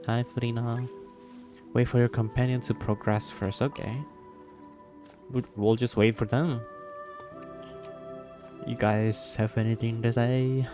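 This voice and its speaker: A man giving casual gameplay commentary, reacting and repeating words to himself in a muttering way. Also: mid-range voice. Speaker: gaming video creator. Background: game music.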